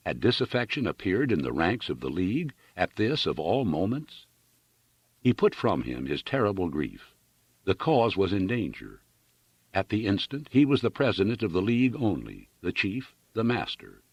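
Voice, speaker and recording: A male audiobook reader with a low, slightly rough voice narrates literary fiction. His delivery is theatrical and emphatic, with wide swings of intonation. The recording sounds somewhat dull and lo-fi. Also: very animated, moderate pace, dry recording.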